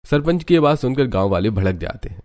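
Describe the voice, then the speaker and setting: A man's voice: medium pitch, big pitch swings, fast talker, darkish tone. A man narrating a scripted cartoon village story as its storyteller.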